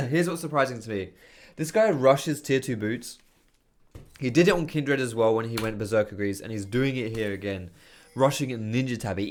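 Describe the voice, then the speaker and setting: A man, his voice going hoarse, giving lively, excitable play-by-play commentary over video game footage with casual slang. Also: mid-range voice. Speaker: video host. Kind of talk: gameplay commentary.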